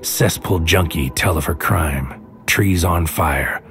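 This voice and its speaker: A man playing a brooding, paranoid hitman, delivering a deliberate, poetic inner monologue in a surreal audio drama. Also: low-pitched, fairly bright tone, full character voice. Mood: brooding, haunted. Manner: deliberate, poetic, often dropping into whispers. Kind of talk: scripted noir-like audio drama narration. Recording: music under the voice. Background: music.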